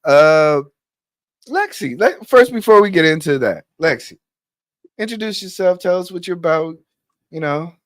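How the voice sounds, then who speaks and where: Halting man with a higher voice. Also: expressive, a little hiss or hum. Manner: loose and halting, repeats words, stumbles into sentences. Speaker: podcast host. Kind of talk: casual podcast chat.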